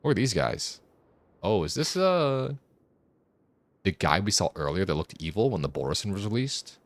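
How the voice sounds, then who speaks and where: A man's voice: on the low side, very animated, normal speed, no echo. A man giving casual, rambling, jokey commentary on a game livestream, often repeating himself. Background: video game music and dialogue.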